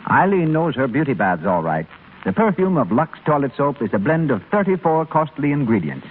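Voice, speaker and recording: A man's voice: fairly high pitch, very animated, a little fast. A man announcing a commercial in a scripted 1930s radio sales style. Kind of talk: 1930s radio advertising pitch. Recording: muffled scratchy 1930s radio broadcast.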